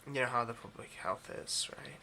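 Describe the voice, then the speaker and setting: A man acting out a male vampire who works as a lab technician, in an intimate ASMR roleplay. His voice is crisp and bright with a creaky edge, and he talks in a stammering, overly courteous way that hides an obsession. A faint noise sits behind him. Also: mid-range voice, fairly quick. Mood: eager, flustered. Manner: hesitant, stammering, overly polite.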